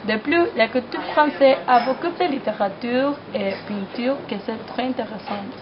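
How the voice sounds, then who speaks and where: A woman's voice: on the high side, normal speed. Teenage girl on a noisy recording. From Argentina. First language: Spanish. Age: teens. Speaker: student of French. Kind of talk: prepared personal testimony in French as a learner. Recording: muffled recording with clear noise.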